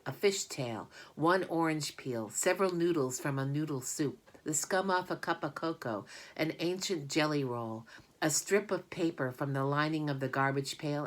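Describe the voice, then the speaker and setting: A woman with a low voice reads a children's storybook aloud. Her delivery is scripted narration with plenty of expression.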